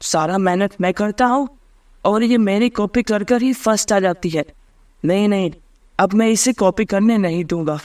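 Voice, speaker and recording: A man's voice: high-pitched, normal speed, darkish tone, lightly acted. A man voicing an earnest, plain-spoken poor schoolboy who studies hard, in a cartoon story. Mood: resentful.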